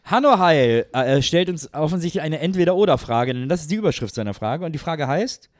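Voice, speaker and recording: A man's voice: on the high side, animated, fairly quick, dry recording, clean studio sound. A man co-hosting a casual talk, chatting and reading questions out to the others. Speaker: show host reading listener questions.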